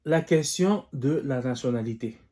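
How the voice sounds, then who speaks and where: A man delivers political commentary on his own. His voice is mellow and warm, set at an ordinary pitch for a man. He talks in a measured, deliberate way, thinking aloud and turning his points into questions for his listeners. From DR Congo. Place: living in the United States.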